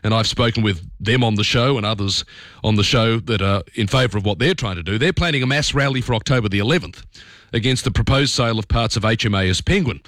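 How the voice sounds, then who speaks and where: A middle-aged Australian man hosting talk radio, brisk and opinionated in a conversational way. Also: fairly low pitch, a bit bright, no room sound. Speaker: radio host. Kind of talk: talk radio commentary and interview.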